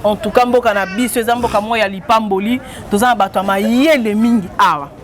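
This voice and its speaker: A man's voice: high-pitched, a little fast, fairly bright tone. A man with a Congolese French accent interviewing in French and Lingala, talking conversationally.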